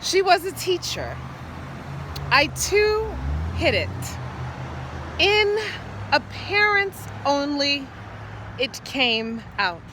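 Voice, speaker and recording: A woman poet performing an improvised spoken-word monologue outdoors, theatrically, in short halting phrases with repeated words. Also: sits high, very animated, pushed and bright, a little hiss or hum, rough recording. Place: outdoors, standing or walking.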